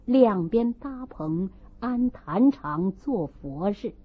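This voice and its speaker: A woman's voice: mid-range voice, expressive, takes it slowly, husky, lo-fi sound. A woman narrating a classic novel as a dramatic audiobook.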